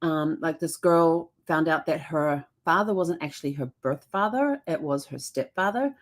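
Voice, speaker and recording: A middle-aged woman with a somewhat low voice talks through a beginner genealogy topic as the host. Her delivery is loose and conversational, full of ums, false starts and quick self-corrections. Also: expressive. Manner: frequent ums and self-corrections, stumbling over words. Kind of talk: casual explainer episode.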